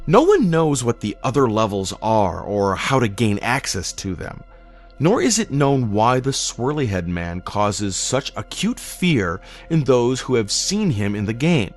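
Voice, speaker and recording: A man narrating a scripted horror story in a dramatic, deliberate storytelling manner. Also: on the low side. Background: background music.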